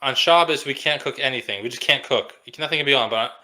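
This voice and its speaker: Dry-humored young man, bright tone. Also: mid-range voice, fast talker, poor audio. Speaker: podcast co-host. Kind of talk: casual chat.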